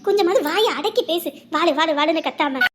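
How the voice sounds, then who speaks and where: Brief: woman playing a soft, low-voiced older elder, patient and coaxing. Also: lively intonation, fairly quick, slight background noise. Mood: chiding. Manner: low, gentle, coaxing with proverbs.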